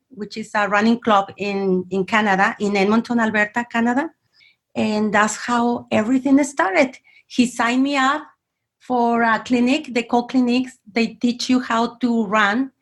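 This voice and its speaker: A woman from Mexico, a podcast guest telling her story in a friendly, grateful way that laughs easily. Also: fairly high pitch. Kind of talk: conversational interview answers.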